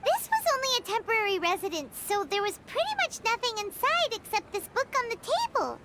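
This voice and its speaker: A woman voicing a tiny childlike fairy companion in a video game, with a squeaky, expressive and earnest voice. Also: fairly quick, very noisy. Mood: hesitant. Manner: refers to self in third person, expressive. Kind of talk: video game cartoon voice acting. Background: background music.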